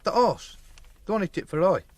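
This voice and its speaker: An actor playing a brash, boastful young lad with a Northern English accent, blunt and slangy, in a radio drama. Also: male voice, high-pitched, expressive, fairly quick. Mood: blunt, curious. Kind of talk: naturalistic radio drama dialogue.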